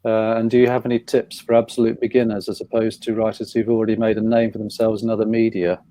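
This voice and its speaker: A man chairs an online panel in a mellow, warm voice. He reads out audience questions hesitantly, with plenty of fillers along the way. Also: fairly low pitch, monotone, fast, dry recording. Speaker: event chair. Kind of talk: moderating a panel discussion.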